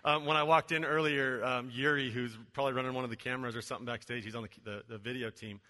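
A man's voice: medium pitch, steady tone, quick pace, sharp tone. A man in his forties preaching a casual, joking church sermon full of fillers, heard in a large church auditorium. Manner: chatty and joking, many fillers. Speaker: venue director and preacher. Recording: long hall reverb.

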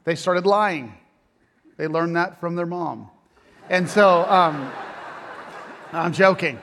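A man's voice: high voice, slow pace, fairly bright tone, slight background noise. A middle-aged man preaching a conversational sermon, casual and self-deprecating with joking asides.

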